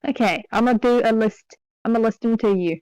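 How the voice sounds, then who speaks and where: A young woman from New Zealand with an accent softened by years in Australia, chatting calmly with a dry, teasing manner. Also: on the high side, a little fast, smooth, low-quality recording. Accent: Australian-tinged New Zealand. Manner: dry teasing, calm, coaching. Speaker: co-host. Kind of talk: casual worldbuilding chat.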